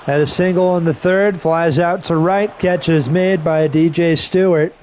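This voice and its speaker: A slightly hoarse man on a headset mic giving casual play-by-play commentary, with relaxed, rambling asides between calls. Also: a little high, a little slow, a little hiss or hum, low-quality recording. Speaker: streamer doing play-by-play.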